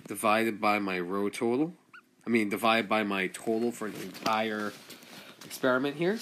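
Guy teacher walking through math on a screencast, mid pitch, faint hiss behind. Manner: steady step-by-step explaining, talks through calculations aloud. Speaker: statistics teacher. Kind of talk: screen-recorded math lesson.

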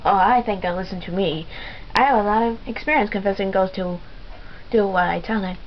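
A woman's voice: medium pitch, fairly quick, slight background noise, voice pushed down, lightly acted. A woman doing a chirpy cartoon sea sponge. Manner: bright, chirpy and upbeat. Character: cheerful boyish sea sponge, eager. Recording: dull lo-fi game capture with music.

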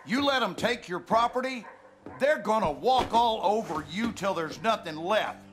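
A harsh country father from the American South in a dramatic video game. He is gruff, folksy and scolding, with a bitter edge. Music plays behind him. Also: sits high, animated, moderate pace. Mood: angry scolding. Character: harsh, bullying rural father, stern and bitter.

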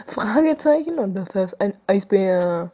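A woman's voice: medium pitch, expressive, slow, dark tone, slightly rough. A young woman chatting casually as she unboxes stickers at her desk, hesitant with many fillers and small giggles. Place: indoors at a desk, home room.

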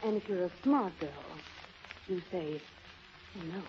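A woman's voice: lowish voice, animated, unhurried. Dull, faintly noisy 1930s radio sound carries a worldly, flirtatious secretary. She is condescending and theatrical, and her melody swings widely as she teases. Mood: sultry, teasing. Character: sly, worldly, flirtatious female former secretary. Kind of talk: 1930s radio drama acting. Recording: muffled, noisy old 1930s radio recording.

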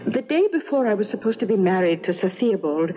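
A woman's voice: medium pitch, animated, fairly quick, natural voice. A woman playing a doomed, mournful ghost bride from the eighteenth century in a radio drama, with formal, old-fashioned phrasing. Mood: wistful. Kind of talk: 1970s radio drama acting. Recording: dull 1970s radio broadcast.

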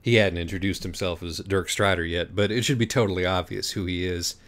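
A man reading a comic story aloud as an audiobook narrator, in a deadpan, wry manner. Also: low voice, brisk.

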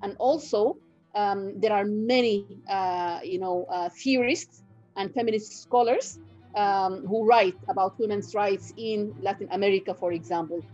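A middle-aged woman from Sudan whose first language is Nubian, a professor giving an online guest lecture in a measured way with many fillers and restarts, on a video call from home. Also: medium pitch, a little mellow, highs cut off, soft background music. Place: home room.

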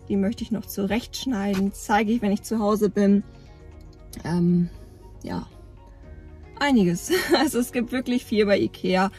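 Chatty breathy woman vlogging from her car. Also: mid-range voice, dark tone. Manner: chatty, rambling with frequent fillers. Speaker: camping vlogger. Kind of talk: casual vlog chat. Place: inside a parked car. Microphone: phone or camera at arm's length.

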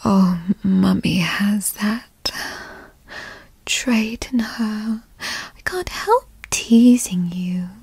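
A woman playing a slow, whispering possessive girlfriend. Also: medium pitch, lively intonation, a little mellow, dry recording, exaggerated voice. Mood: teasing. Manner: slow, breathy, cooing, teasing. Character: possessive, doting yandere girlfriend playing mommy, teasing. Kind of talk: ASMR roleplay, soft whispering. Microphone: close microphone, dry.

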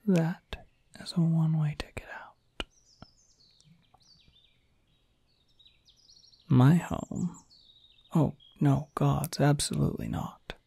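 A man's voice: on the high side, fairly even intonation, slow, no echo, heavily acted. A man playing a suave, teasing incubus demon in a whispered ASMR roleplay, sly and intimate, close to the microphone. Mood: musing. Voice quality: breathy, soft whisper.

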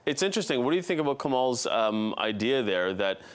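A man anchoring a news debate in a relaxed way, laughing along with guests. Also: mid-range voice, a little fast, clean tone.

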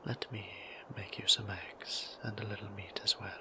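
A man whispering as a gentle vampire priest. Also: lowish voice, flat delivery, moderate pace, natural voice. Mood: tender. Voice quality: soft whisper, gravelly. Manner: formal, old-fashioned phrasing, gently teasing. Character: gentle formal male vampire priest, doting. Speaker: voice actor. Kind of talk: whispered ASMR roleplay.

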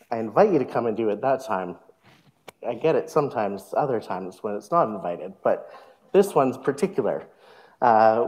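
A man, a minister, giving relaxed announcements from a lectern in a church sanctuary. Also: mid-range voice. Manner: relaxed, informal, with fillers.

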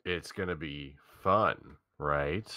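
Slow, very low-pitched man reading lines. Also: pushed and bright, no room sound, studio quality. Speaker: reader of the male lead in a game staged reading. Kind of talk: dramatic reading with joking asides.

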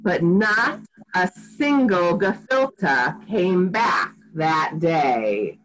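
A woman in her forties reading a picture book aloud to young children in an expressive, sing-song, deliberate way, recorded at home on a computer mic. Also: lowish voice, soft background music, low-quality recording. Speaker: rabbi reading to preschoolers. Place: small furnished room at home. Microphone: computer microphone on a video call.

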